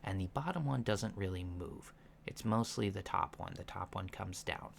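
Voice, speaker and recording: A soft-spoken man giving gentle, measured instructions in an ASMR roleplay, pausing often mid-sentence. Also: low-pitched, vocal fry. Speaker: ASMR roleplay performer playing a training instructor. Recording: lo-fi with faint background noise.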